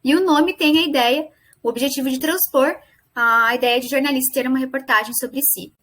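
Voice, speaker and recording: A young woman with a Brazilian accent giving an academic presentation as a student, explaining in a halting way and correcting herself. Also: high voice, a bit bright. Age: young adult. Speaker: student presenting a final project.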